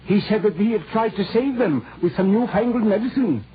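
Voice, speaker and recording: An actor playing a talkative, respectful villager with a folksy, emphatic manner in a 1940s radio drama. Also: male voice, high-pitched, expressive, a little fast. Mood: earnest, confiding. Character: talkative rustic village informant, respectful but indignant. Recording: tinny, noisy old radio recording.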